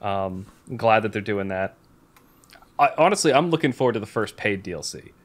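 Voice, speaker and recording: A man's voice: on the low side, lively intonation, fairly quick. A man co-hosting a podcast in casual chat, blunt and opinionated in how he talks. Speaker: podcast co-host.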